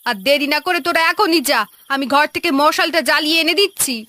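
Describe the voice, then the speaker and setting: Woman playing a shrill, spiteful village stepmother. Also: fast talker, bright, forceful tone, no room sound. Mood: scornful, scheming. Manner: sneering, venomous. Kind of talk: Bengali cartoon voice acting.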